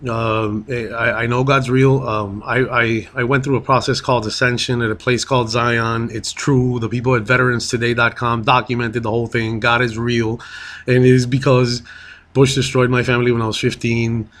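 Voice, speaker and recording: A man's voice: medium pitch, flat delivery, moderate pace, a bit bright. A man from Miami and Colombia makes a rambling, impassioned appeal to commuters, full of fillers, restarts and repeated phrases. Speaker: street speaker addressing commuters. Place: public transit, bus or station.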